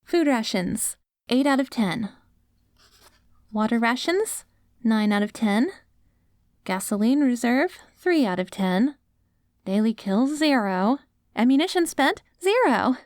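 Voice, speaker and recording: Casting: woman to play hopeful, devoted wasteland wife for ASMR drama. Higher-pitched, gently coaxing, very dry sound. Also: lively intonation, takes it slowly, long pauses, no room sound. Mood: gentle, methodical. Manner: tender, coaxing, speaks directly to a loved one. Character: devoted, hopeful wife caring for her zombie husband after apocalypse. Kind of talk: ASMR audio roleplay.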